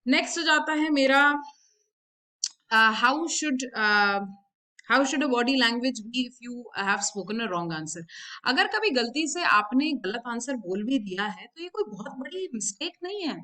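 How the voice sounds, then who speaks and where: High-pitched Indian woman coaching, emphatic. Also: big pitch swings, slow pace, bright, forceful tone. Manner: emphatic, encouraging, repeats key advice. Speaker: body language and communication coach. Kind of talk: instructional talk to viewers, mixing Hindi and English.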